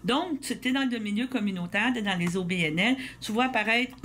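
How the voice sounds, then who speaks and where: A woman's voice: a little high, a little fast, fairly bright tone. A woman with a Québécois accent hosting a convivial radio interview, chatty and rambling, often repeating words. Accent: Québécois French. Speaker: radio host. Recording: community radio with faint noise.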